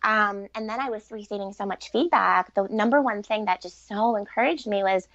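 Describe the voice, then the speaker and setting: A woman's voice: mid-range voice, moderate pace, a bit bright, dry recording. A middle-aged woman talking as an interview guest in an earnest, reflective and informal conversational way. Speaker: interview guest, relationship author and coach. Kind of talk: conversational podcast interview answers. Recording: dull, lo-fi sound.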